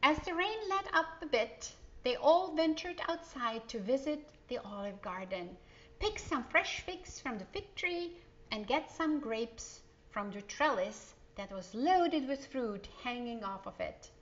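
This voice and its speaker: Slow, higher-pitched woman reading a storybook. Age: middle-aged. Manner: expressive, addresses the young listeners with questions. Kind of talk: children's storybook read-aloud with chatty asides. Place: indoor living room. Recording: dull, slightly lo-fi sound.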